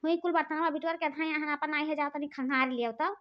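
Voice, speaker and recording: Woman voicing a high-pitched scolding village sister-in-law. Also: fairly even intonation, quick pace, lightly acted. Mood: complaining. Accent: Awadhi. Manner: scolding, earthy, repetitive. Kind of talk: rustic cartoon comedy dialogue. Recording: dull lo-fi sound.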